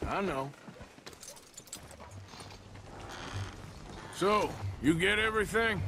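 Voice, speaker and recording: An actor playing a gruff, level-headed cowboy outlaw with a dry manner and a rural American West accent in a video game. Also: male voice, high voice, expressive, moderate pace, background noise. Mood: teasing. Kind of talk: dramatic video game acting. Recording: music under dialogue.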